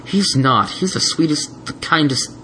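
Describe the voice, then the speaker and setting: Guy doing a high sweet young pony boy, earnest, pleady. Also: lively intonation, unhurried, pushed and bright, a little hiss or hum. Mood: defiant.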